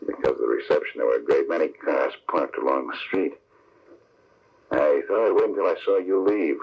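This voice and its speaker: Deep-voiced, smug gangster henchman. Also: brisk, vocal fry, breathy edge, exaggerated voice. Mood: smug, calm. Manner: self-satisfied, matter-of-fact. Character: smug, cool-headed male underworld killer and henchman. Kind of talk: old-time radio drama acting. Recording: muffled old radio recording, faint hiss.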